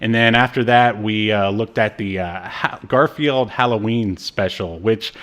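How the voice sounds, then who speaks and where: A man's voice: lowish voice, unhurried, a bit bright. A man hosting a podcast in casual chat, with a joking, self-deprecating manner. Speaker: podcast host. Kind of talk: casual chat about obscure media.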